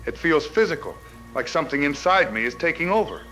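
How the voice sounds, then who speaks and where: A man's voice: a little high, big pitch swings, brisk, sharp tone, soft background music, rough recording. An actor playing a frightened radio caller who fears he is changing, speaking in an anxious, confessional way. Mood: terrified. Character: frightened bitten man phoning in, fighting an inner change. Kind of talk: radio drama call-in monologue.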